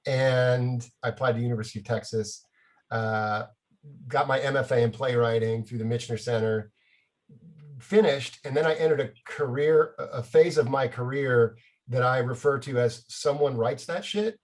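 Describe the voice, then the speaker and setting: A middle-aged man, a playwright, telling casual anecdotes in an animated, self-deprecating way with chuckles. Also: mid-range voice, moderate pace.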